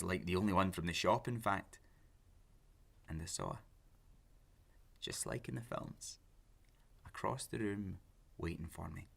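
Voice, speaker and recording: An actor playing an obsessive, pleading, lonely lovesick man in an audio drama, halting and intense as he justifies himself. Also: sits low, vocal fry, sharp tone, slightly rough, exaggerated voice. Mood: wistful. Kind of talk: naturalistic audio drama acting.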